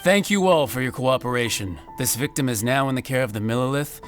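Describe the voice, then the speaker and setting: Man as city guard, video game, mid-pitched, formal, official, dutiful, music behind. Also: lively intonation, brisk, lightly acted. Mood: formal, reassuring.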